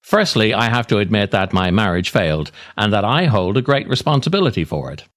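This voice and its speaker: A middle-aged man reading a scripted comic monologue as a podcast host, in a wry, self-deprecating way with theatrical pauses. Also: fairly low pitch, expressive, fairly bright tone. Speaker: podcast host, writer reading own essay.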